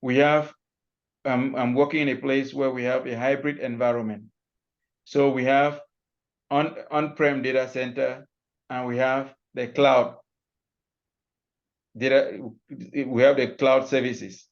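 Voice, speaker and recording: A man's voice: mid-range voice, little pitch movement, unhurried, pauses often. A man from Cameroon, a cloud security expert, explaining his workday in a loose, step-by-step way with frequent restarts on a video call. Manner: loose, restarting, walks through examples step by step. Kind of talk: online panel talk, explaining his job. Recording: muffled call audio.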